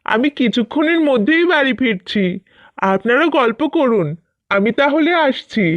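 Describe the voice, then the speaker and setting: High-pitched busy village father voiced by a woman. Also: animated, moderate pace, dry recording. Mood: polite, hurried. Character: busy, polite middle-aged village father. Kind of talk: Bengali cartoon fairy-tale acting. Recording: dull, lo-fi, dry sound.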